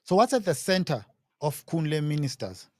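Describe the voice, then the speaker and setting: A man interviewing a guest and asking questions casually in short, halting phrases. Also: on the high side, sing-song, dry recording, clean studio sound.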